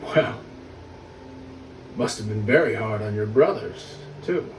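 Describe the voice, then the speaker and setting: A man voicing a stern, bitter young lord of Egypt in an animated film, questioning and accusing in a commanding manner. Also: fairly low pitch, takes it slowly. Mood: guarded, probing. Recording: roomy, distant, noisy film soundtrack rip.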